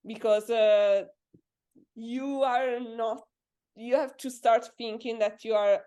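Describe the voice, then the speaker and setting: A woman's voice: fairly high pitch, fairly even intonation, slow pace, warm tone, clean tone. Hesitant young woman in a science interview. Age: 20s. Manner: thoughtful, hesitant, many fillers. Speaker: guest astrophysics PhD student. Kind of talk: casual interview chat.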